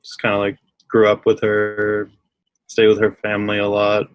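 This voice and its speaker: A man's voice: on the low side, completely flat delivery, moderate pace, lo-fi sound, slight background noise. A young man from the United States talking casually as a guest, laid-back and rambling with many fillers. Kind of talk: casual chat.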